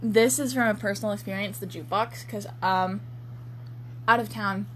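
A woman chatting casually and reading a story aloud in a halting, giggly way. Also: mid-range voice, fairly even intonation, fairly bright tone. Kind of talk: casual chat and amateur read-aloud. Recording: faintly noisy home recording.

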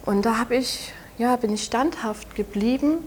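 Soft-spoken Saxon woman in her twenties. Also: fairly high pitch, slight background noise. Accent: Saxon German. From Saxony, Germany. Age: 20s. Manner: halting, soft-spoken, with frequent restarts. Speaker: interview guest. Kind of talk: personal testimony in an interview.

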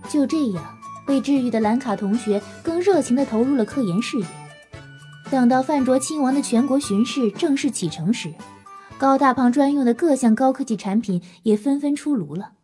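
A woman's voice: high-pitched, lively intonation, normal speed, dark tone, faint music behind. A woman narrator telling a story in scripted audio drama style.

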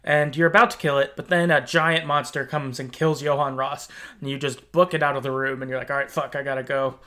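A man's voice: medium pitch, level tone, brisk, bright, forceful tone. A man co-hosting a podcast, chatting casually and retelling a game's story with acted-out asides. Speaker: podcast co-host. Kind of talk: casual chat recapping a video game story.

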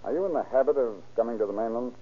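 An actor playing a formal, deliberate prosecuting attorney in an old radio courtroom drama. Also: male voice, medium pitch, close to an everyday voice. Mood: probing. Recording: tinny old radio recording.

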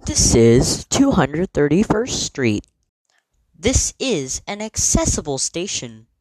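A woman delivering scripted train announcements in a measured, formal, clearly segmented manner. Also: low-pitched, no room sound, poor audio.

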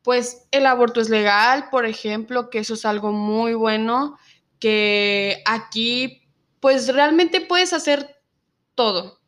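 A nineteen-year-old woman from Mexico with a Mexican Spanish accent, telling her own story in an interview and pausing often on fillers. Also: on the high side, steady tone, a bit bright. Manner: reflective, many filler pauses. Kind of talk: personal testimony in an interview.

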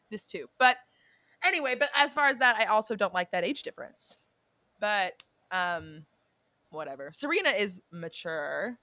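A woman's voice: mid-range voice, sharp tone, dry recording. A young woman co-hosting a podcast, chatting casually and swearing freely, often drifting off and correcting herself. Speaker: podcast co-host. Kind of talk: casual chat about a reality show and astrology.

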